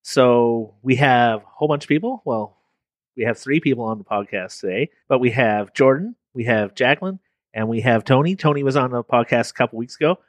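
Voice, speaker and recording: A man's voice: medium pitch, a little fast, no room sound. A man hosting a food podcast, casually interviewing guests at a film festival, upbeat and laughing often. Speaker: podcast host.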